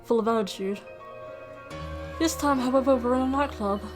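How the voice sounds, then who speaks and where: A woman's voice: fairly high pitch, moderate pace, music in the background. Rambling woman chatting casually to camera. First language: English. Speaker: reaction video host. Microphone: USB desktop microphone.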